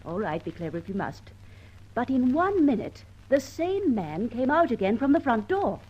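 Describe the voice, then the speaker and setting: Radio mystery: bantering, indignant British woman sleuth, highish lively voice, on a dull vintage recording. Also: normal speed, breathy edge. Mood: exasperated, insistent. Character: eager, observant young lady accomplice, easily exasperated. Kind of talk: old-time radio detective drama acting.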